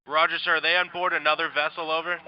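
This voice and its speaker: A man's voice: on the high side, flat delivery, fast, background noise. A man working as a coast guard radio operator, speaking in clipped, formal procedure over VHF radio. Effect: VHF marine radio. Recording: muffled VHF radio transmission.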